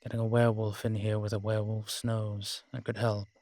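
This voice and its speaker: A man's voice: on the low side, level tone, fairly quick, a little mellow, no echo, natural voice. A man playing an ancient, proud and world-weary male vampire in an audio roleplay, talking in a wry, haughty way with old-fashioned fancy words. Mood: grumbling, watchful. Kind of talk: audio roleplay drama, one-sided dialogue.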